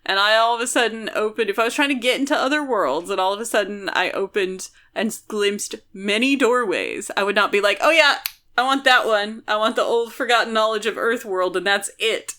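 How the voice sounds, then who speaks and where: A woman's voice: medium pitch, a little fast, pushed and bright. A woman co-hosting a podcast, talking through a book casually and arguing her opinionated points at length. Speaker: podcast co-host.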